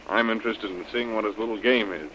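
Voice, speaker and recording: An actor playing a sly, bossy, scheming villain in a 1930s radio adventure serial. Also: male voice, on the low side, steady tone, fast, a bit bright, slight background noise, lightly acted. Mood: bossy, calculating. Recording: muffled old radio recording.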